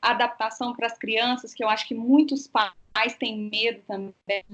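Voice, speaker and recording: A woman's voice: on the high side, steady tone, normal speed, fairly bright tone. A woman hosting a casual interview, chatty and full of tag questions, over a video call. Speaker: interview host, works in student exchange. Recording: dull lo-fi call audio.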